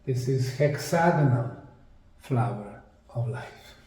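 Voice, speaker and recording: A man giving a lecture-style presentation, speaking deliberately in short, emphatic phrases with pauses. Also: mid-range voice, lively intonation, warm tone. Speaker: presenter, anthropologist. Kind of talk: slide lecture presentation on sacred geometry. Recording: distant mic in an echoey room.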